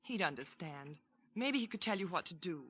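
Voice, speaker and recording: An actress playing a gentle, supportive young woman in a 1940s radio drama, speaking in a soft, reassuring way. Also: on the low side, expressive, moderate pace, breathy edge, heavily acted. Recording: dull lo-fi vintage radio recording.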